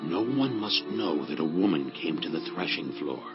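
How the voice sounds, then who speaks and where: A man's voice: low-pitched, big pitch swings, moderate pace, highs cut off, music in the background. A man playing a kindly, honorable landowner of ancient Israel in a dramatized Bible reading, earnest and declamatory. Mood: kind, reassuring. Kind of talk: dramatized Bible audiobook acting.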